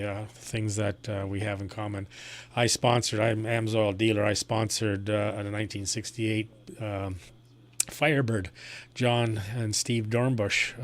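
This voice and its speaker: A man chatting casually as an amateur radio operator. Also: on the low side. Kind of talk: casual ham radio chat.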